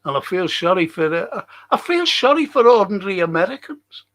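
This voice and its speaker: A man's voice: high voice, sing-song, fairly quick, fairly bright tone. A man with a Liverpool accent hosting a casual livestream, rambling and repeating phrases for emphasis. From England. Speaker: livestream host. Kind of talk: casual livestream commentary reading viewer comments.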